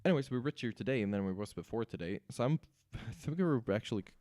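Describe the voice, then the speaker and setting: A man with a raspy, sore voice, talking himself through building machines while streaming a game. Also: mid-range voice, expressive, quick pace, dark tone, no echo, studio quality. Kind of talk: casual gameplay commentary.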